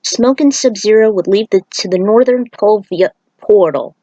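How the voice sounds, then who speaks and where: A woman's voice: mid-range voice, moderate pace, dark tone, dry recording, muffled recording. A woman narrating a scripted fantasy story.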